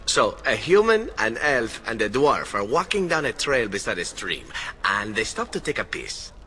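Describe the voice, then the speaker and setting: An actor playing a playful, roguish adventurer in a fantasy game, telling tales with showy, theatrical flair. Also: male voice, medium pitch, very animated, normal speed, pushed and bright, slight background noise. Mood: sly amusement. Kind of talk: fantasy video game voice acting.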